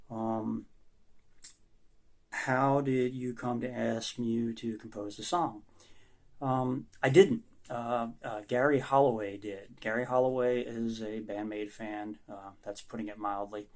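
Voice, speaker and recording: A low-voiced man with a gravelly, fry-laden tone, his voice going hoarse as he answers interview questions. He talks in a flat, even line and pauses often, with a halting but sincere manner. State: losing his voice. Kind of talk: recorded self-interview answers.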